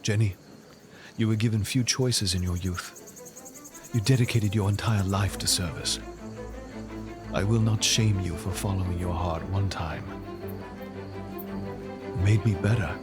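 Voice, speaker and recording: A man's voice: low-pitched, measured pace, long pauses. An actor playing a noble, tender king with earnest feeling in an audio drama. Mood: tender resolve. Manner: earnest, emotional, commanding. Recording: music behind the voice.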